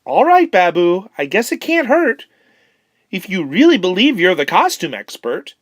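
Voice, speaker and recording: A woman's voice: lowish voice, big pitch swings, moderate pace, dry recording, clean studio sound. A woman voicing a worried young penguin in a children's storybook, fretful and full of exclamation. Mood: doubtful. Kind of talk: children's storybook read-aloud.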